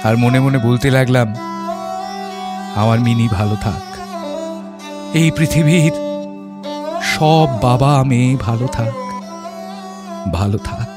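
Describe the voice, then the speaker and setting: Audio story lead: gentle Bengali gentleman and father played by a male actor, mid-pitched, heartfelt first-person narration. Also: takes it slowly, long pauses, music in the background, lightly acted. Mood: tender, wistful. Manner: reflective, heartfelt.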